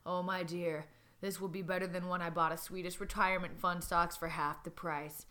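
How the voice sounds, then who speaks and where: A smug, domineering old tycoon gives orders in a pompous drawl, as a woman reads him out. The voice stays bright, with only a slight drop from her own. It comes across as a tongue-in-cheek comic reading. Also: lowish voice, level tone, normal speed, pitched below the performer's own voice. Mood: commanding.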